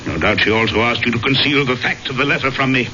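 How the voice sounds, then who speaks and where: An actor playing a proud, bitter gentleman trophy hunter, speaking formally and deliberately in old-fashioned radio drama style. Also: mid-range voice. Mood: bitter, accusing. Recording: dull, noisy vintage radio recording.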